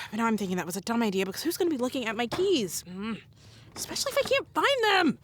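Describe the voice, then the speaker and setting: Harried, exasperated, high-voiced radio reporter. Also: female voice, sing-song, fast, slight background noise. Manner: flustered, sarcastic, sighing. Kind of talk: comedic audio drama acting.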